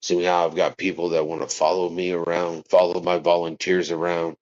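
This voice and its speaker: Deep-voiced man, earnest and halting. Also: flat delivery, darkish tone, lo-fi sound. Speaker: father of a missing child. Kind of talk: interview clip.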